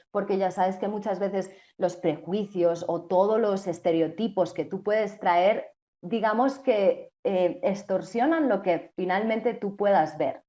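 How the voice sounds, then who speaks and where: A woman's voice: medium pitch, warm tone, dull audio. A young woman from Spain with a Spanish accent, a journalist answering interview questions thoughtfully, with frequent restarts and fillers. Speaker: TV journalist and co-host.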